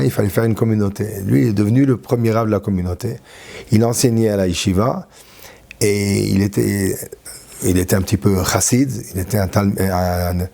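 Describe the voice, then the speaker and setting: Older French rabbi, 60s, dark gravelly tone, hesitant reminiscing interview delivery. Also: male voice, fairly low pitch. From France. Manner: halting, with many fillers and restarts.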